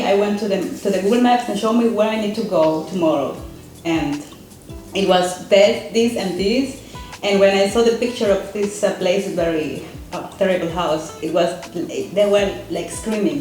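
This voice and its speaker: Earnest, halting woman from Peru. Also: medium pitch, darkish tone. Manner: earnest, halting, searching for words. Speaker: guest, seminar student. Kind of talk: casual conversation. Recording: very echoey room, faint music.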